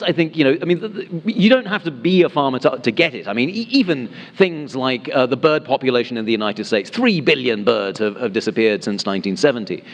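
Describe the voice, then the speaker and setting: Brief London-raised man on a panel, halting, fillers, mid-pitched, reverberant room. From London, England. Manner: halting, many fillers and restarts. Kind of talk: town hall panel talk. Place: town hall meeting room. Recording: reverberant hall.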